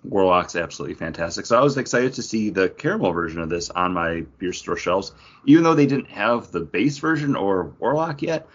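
A man with a lowish, very animated voice chats casually as a podcast co-host. His delivery is jokey and enthusiastic, like an informal tasting among friends. The recording is slightly dull and lo-fi. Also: fairly quick.

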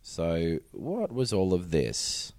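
A man's voice: deep voice, huge pitch swings, takes it slowly, darkish tone, no room sound, clean studio sound. A man casually recapping a TV episode, joking and swearing as he goes. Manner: profane, joking, playful voices.

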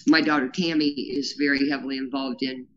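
A woman's voice: very deep voice, steady tone, brisk, muffled recording. A woman being interviewed as a grassroots organizer, talking in an earnest, rambling way with many fillers and a powerful voice. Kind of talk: casual interview talk.

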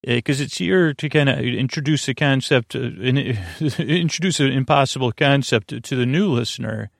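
A man with a creaky voice hosting a sleep podcast in a soothing, meandering monologue full of halting starts and tangents. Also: mid-range voice, dry recording, studio quality. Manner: halting, digressive, many false starts and filler words, soothing.

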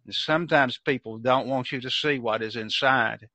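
A man's voice: medium pitch, moderate pace, pushed and bright, no room sound. An older man with a Southern US accent, speaking as a guest in an emphatic, preacherly way. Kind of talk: preaching-style discussion. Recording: dry, dull lo-fi sound.

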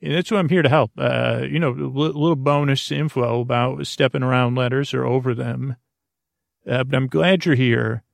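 A man hosting a bedtime podcast in a gravelly voice, rambling through an improvised monologue that halts and circles back on itself. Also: medium pitch, fairly even intonation, dry recording. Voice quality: gravelly, creaky. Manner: meandering, halting, repeats and circles back on words. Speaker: podcast host.

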